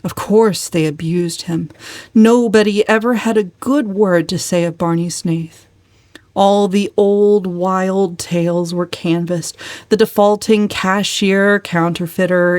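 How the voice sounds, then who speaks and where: A woman's voice: mid-range voice, measured pace, darkish tone, no echo. A woman narrating a novel as a dramatic, scripted literary reading. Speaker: audiobook narrator.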